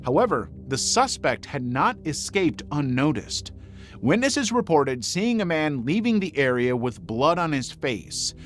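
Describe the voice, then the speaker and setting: A man's voice: fairly high pitch, big pitch swings, unhurried. A man reading scripted true crime documentary narration. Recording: faint music bed behind narration. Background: music.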